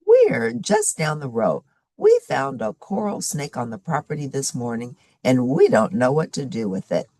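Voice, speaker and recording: A woman's voice: a bass voice, huge pitch swings, moderate pace, mellow, dry recording, exaggerated voice. A woman voicing a polite, practical young female character from a children's storybook, speaking in a matter-of-fact way.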